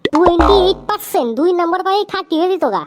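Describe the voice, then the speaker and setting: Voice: extremely high pitch, racing, over loud music. A performer voicing a cocky, easily offended young friend in a comedy cartoon, whining and defiant in slangy speech. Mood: boastful.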